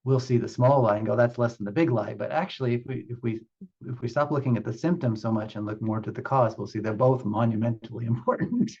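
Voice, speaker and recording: A man giving reflective spiritual teaching as a meditation teacher, speaking in halting, deliberate phrases with pauses for emphasis. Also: medium pitch, a little mellow, breathy edge, lo-fi sound.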